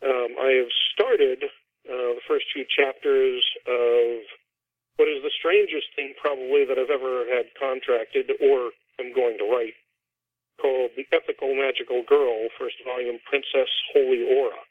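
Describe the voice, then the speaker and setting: A man's voice: medium pitch, fairly even intonation, unhurried, no room sound. Middle-aged male author as interview guest, explanatory and conversational, dry close sound through a muffled telephone-style line. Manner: explanatory, talks at length about his fiction. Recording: dull, band-limited phone or radio-like line.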